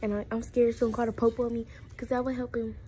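A young American woman chatting casually to camera in an informal, slangy, halting way, sometimes dropping into hushed asides. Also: fairly high pitch, level tone, a little hiss or hum. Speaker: vlogger making prank calls. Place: small indoor room. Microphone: phone held at arm's length.

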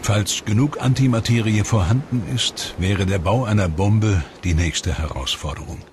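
A man giving measured, explanatory scripted voice-over narration for a documentary. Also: lowish voice. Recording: voice-over with music behind.

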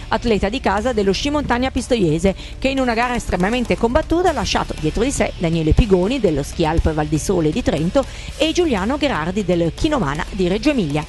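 A woman narrating a sports news report in an even, formal reading voice. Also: a little high. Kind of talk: scripted sports news voice-over. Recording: voice-over over loud music.

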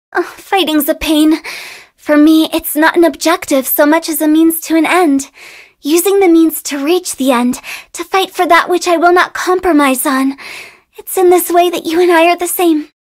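A woman's voice: extremely high pitch, fairly even intonation, normal speed. A woman voicing a playful, eccentric young female funeral director in a video game, with a theatrical, teasing, sing-song delivery. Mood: cheerful, playful, then earnest. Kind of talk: video game character voice lines.

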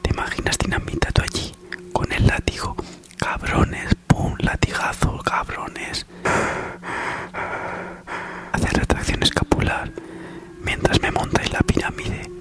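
Casting: man, Spain accent, breathy whispered ASMR parody, soothing and teasing. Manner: slow soothing delivery with mock-reassuring teasing. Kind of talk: whispered ASMR comedy monologue. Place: small living room at home, on a sofa.

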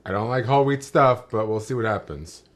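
A middle-aged man tasting a dish in a home kitchen and giving his candid, relaxed opinion. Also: fairly low pitch, fairly quick. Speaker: guest taster. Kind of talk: casual chat.

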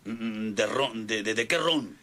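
A man's voice: fairly low pitch, a little slow, sharp tone. A man acting a boastful, bossy ranch boss in an old-fashioned radio drama, with a rural northern Mexican accent and folksy, domineering manner. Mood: grumbling.

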